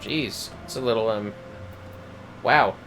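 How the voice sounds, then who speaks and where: A man's voice: lowish voice, takes it slowly, a bit bright, faint music behind, poor audio. A man streaming a video game, chatting casually and muttering to himself as he plays. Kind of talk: casual livestream chat while gaming.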